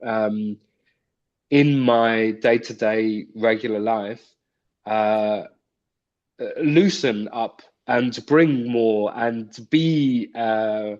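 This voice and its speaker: A man's voice: on the low side, extremely slow, a little mellow. Thoughtful man on a dull call. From East End of London. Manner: hesitant, thoughtful, many fillers. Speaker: guest. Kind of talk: reflective conversation.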